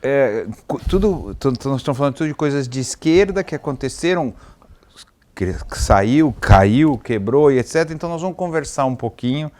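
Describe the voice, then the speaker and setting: A man with a Brazilian accent hosting a casual interview, haltingly rephrasing his points and seeking confirmation. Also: medium pitch, lively intonation, a little mellow. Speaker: interview host.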